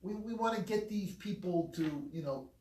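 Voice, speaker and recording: A man's voice: sits high, lively intonation, normal speed, darkish tone, roomy sound, recorded from a distance, a little hiss or hum. A man co-hosting a talk show, congested from a cold, talking casually and rambling. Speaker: podcast co-host. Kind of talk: casual chat. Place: small studio.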